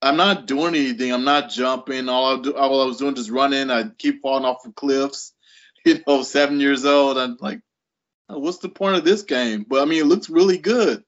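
A man's voice: medium pitch, moderate pace, dull audio. A man in his late thirties chatting casually as a podcast guest. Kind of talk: casual chat, personal storytelling.

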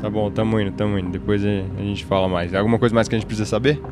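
A man's voice: on the low side, steady tone, racing, music in the background. A Brazilian man playing a tabletop game in a casual, conversational role-play style.

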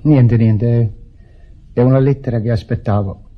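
A man's voice: medium pitch, fairly even intonation, unhurried, close to an everyday voice. An actor in a radio drama playing a weary, sorrowful husband, a broken man who speaks tersely and with resignation. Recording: dull, noisy lo-fi radio recording.

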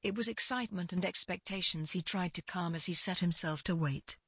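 A woman narrates scripted fiction in a lowish, husky voice. Her phrasing is deliberate, with pauses between short clauses. The sound is close and dry, with no room to it. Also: a bit bright, no room sound.

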